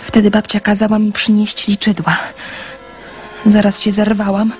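A candid, sensitive girl of seventeen in a radio drama, played by a woman. She is trusting and earnest, confiding in a mid-pitched voice. Music plays faintly behind her. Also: monotone, moderate pace, close to an everyday voice. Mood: embarrassed recounting.

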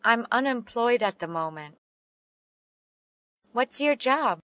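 Female, slow deliberate delivery, clear diction, dry studio sound. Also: a little high, animated, a bit bright, dry recording. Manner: slow and carefully enunciated. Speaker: English teacher reading dialogue. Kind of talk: slow read-aloud English practice phrases.

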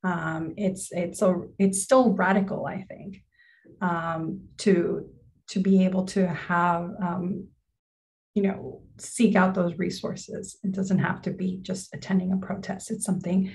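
Brief: young woman moderator on a video call, lowish flat voice, gravelly, halting restarts. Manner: stammering, repeats words. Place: home room.

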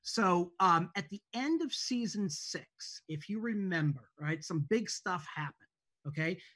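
Woman, lowish voice, hesitant and informal, introducing a show over video call. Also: animated, a bit bright, breathy edge. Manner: hesitant, halting. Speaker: TV show creator introducing a table read. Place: indoors at home on a video call. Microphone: video call microphone.

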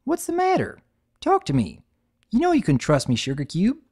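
A man's voice: sits high, wildly swooping pitch, moderate pace, darkish tone, voice pushed up, exaggerated voice. A man voicing a hardworking, cheerful adult farm pony mare with a put-on Southern drawl. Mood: concerned. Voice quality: folksy, drawling.

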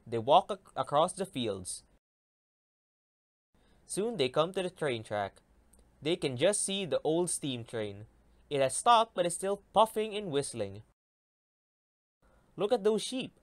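A man reading a children's story aloud, narrating in an expressive way. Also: fairly high pitch, unhurried, pauses often, dry recording. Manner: expressive, animated delivery of story dialogue. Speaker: storybook reader.

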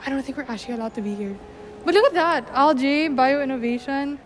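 Chatty young woman touring campus, high voice. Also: brisk, a little hiss or hum. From Philippines. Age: young adult. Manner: chatty, upbeat, laughs often. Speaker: vlogger giving a campus tour. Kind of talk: casual walking vlog. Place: indoor halls and classrooms of a university. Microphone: handheld mic at arm's length. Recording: music playing underneath. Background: background music.

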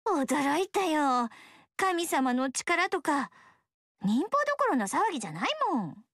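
A bubbly young ninja woman, sweet and eager, who looks after her people like a mother. Her voice is very high and bounces all over. The game studio recording is dry. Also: big pitch swings, unhurried, fairly bright tone, dry recording, exaggerated voice. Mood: cheerful. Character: cheerful young female ninja, protective mother type.

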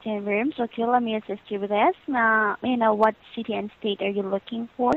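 A woman's voice: fairly high pitch, fairly even intonation, fairly quick, creaky. A woman working as a hotel booking agent, speaking politely and patiently in formulaic customer-service phrases over the phone. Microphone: telephone line. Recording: muffled lo-fi phone call.

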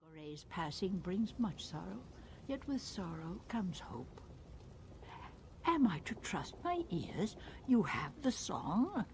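A woman playing a solemn, mystical alien spiritual leader in a video game, with a ceremonial and deliberate manner. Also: on the low side, big pitch swings, a little hiss or hum, heavily acted. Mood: solemn, reverent. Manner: ceremonial, deliberate, breaking into hushed whispers.

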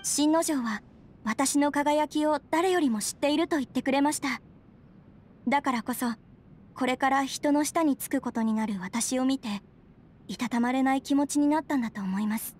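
High-voiced, earnest young noblewoman. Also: darkish tone. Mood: reflective, uneasy. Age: young adult. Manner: polite, formal, earnest. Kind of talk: Japanese anime game voice acting.

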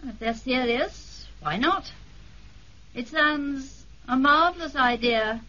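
An actress playing a gracious, assured titled wife, polite and poised, in a 1970s radio drama. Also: female voice, sits high, expressive, slow pace, pushed and bright, exaggerated voice. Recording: muffled, noisy 1970s radio recording.

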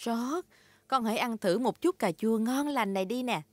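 An actress playing a caring, gentle mother pig in a children's cartoon dub. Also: a little high, moderate pace, no room sound, close to an everyday voice. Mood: gentle, encouraging.

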